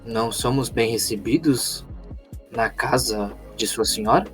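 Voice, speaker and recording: A man voicing a calm, courteous traveler with formal, measured speech in a fantasy roleplay. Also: mid-range voice, lightly acted. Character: calm, courteous male traveler speaking formally to guards and companions. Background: background music.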